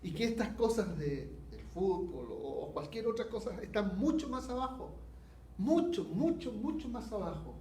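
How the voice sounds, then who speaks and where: A Chilean man preaching a sermon, earnest and unhurried, asking his listeners rhetorical questions. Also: high-pitched, big pitch swings, warm tone, slight background noise. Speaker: pastor. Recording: echoey hall.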